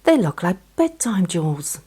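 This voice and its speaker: A woman voicing an excitable, bouncy young female squirrel in a children's storybook, chirping and eager. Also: lowish voice, moderate pace, slightly rough. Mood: excited. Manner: chirping, exclaiming.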